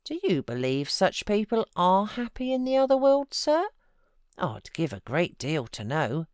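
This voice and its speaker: Woman voicing an earnest, unhurried housekeeper. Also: on the low side, sing-song, darkish tone, smooth, no room sound, studio quality. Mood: earnest, wondering. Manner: earnest, questioning, old-fashioned speech. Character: pious, plain-spoken housekeeper woman, reflective.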